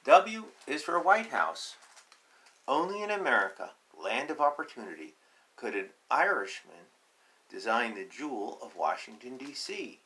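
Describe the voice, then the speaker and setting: A man's voice: mid-range voice, sing-song, measured pace, sharp tone, poor audio. A man reading a children's picture book aloud, announcing letters emphatically and adding simple, teacherly explanations as he goes. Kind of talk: read-aloud with explanatory asides.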